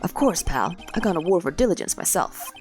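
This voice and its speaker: Woman doing a goofy loyal cop guy, says pal a lot, lowish voice, swoopy, kinda stuffy from a cold. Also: sing-song, brisk, a little mellow, soft background music. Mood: earnest. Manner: folksy, calls people pal. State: sick with a cold.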